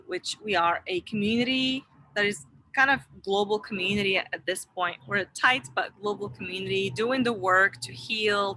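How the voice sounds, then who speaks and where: Bright-voiced woman from Morocco. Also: medium pitch, slight background noise, rough recording. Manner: earnest, rambling with many restarts and fillers. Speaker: interview guest, wellness founder. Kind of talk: casual interview talk. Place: online video interview.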